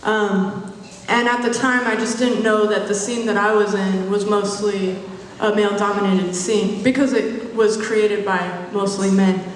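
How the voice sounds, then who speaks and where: A woman dancer and community organizer speaking on a panel in an earnest, halting way. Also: mid-range voice, steady tone, slight background noise. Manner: earnest, halting, with many fillers. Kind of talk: panel discussion introduction and answer. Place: large event hall. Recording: echoey hall from far off.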